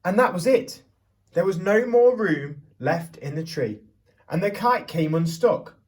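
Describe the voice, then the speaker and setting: A man reading a picture book aloud to young children as a teacher would, theatrically, pausing to put questions to them. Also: high-pitched, expressive, distant mic. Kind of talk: expressive children's storybook reading with questions to listeners.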